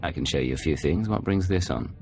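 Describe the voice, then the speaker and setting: An actor playing a cool, confrontational Imperial secret agent in a video game, speaking in terse, probing lines. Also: male voice, extremely low pitch, lively intonation, fairly quick, darkish tone, faint music behind. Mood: composed. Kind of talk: video game cutscene acting.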